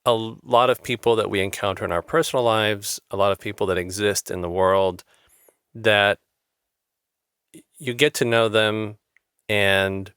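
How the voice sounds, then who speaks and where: Lower-voiced earnest male interviewer. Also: fairly even intonation, measured pace, pauses often, a bit bright, clean tone, no echo, clean studio sound. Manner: rambling, repeats words, earnest. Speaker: interviewer, host. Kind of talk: conversational interview.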